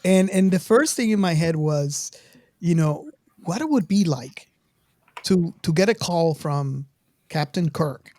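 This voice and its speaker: A woman in her fifties hosting a casual film discussion, speaking haltingly and often repeating words as she goes. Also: fairly low pitch, lively intonation, warm tone. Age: 50s. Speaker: podcast host.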